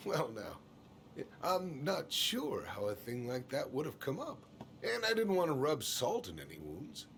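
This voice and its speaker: An actor playing an evasive, folksy middle-aged small-town store boss, speaking hesitantly in naturalistic game dialogue. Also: male voice, a little high, wildly swooping pitch, measured pace, gravelly, a bit bright, a little hiss or hum, full character voice. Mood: defensive.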